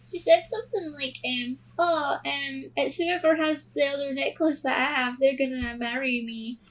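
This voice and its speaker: High-voiced young woman chatting. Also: fairly even intonation, normal speed, poor audio. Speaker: podcast co-host. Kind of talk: casual film discussion.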